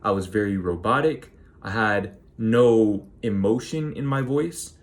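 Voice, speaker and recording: A man in his twenties with a naturally monotone voice, a YouTuber giving motivational advice straight to camera and slowing down to stress key words. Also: fairly low pitch.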